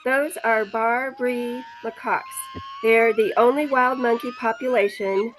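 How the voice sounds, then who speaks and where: A woman acts out a brainy, matter-of-fact female tourist for a forties radio comedy. She is dry and precise, somewhat high in pitch, with faint noise behind. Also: a little slow, close to an everyday voice, rough recording. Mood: knowing, dry. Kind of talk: 1940s radio comedy acting.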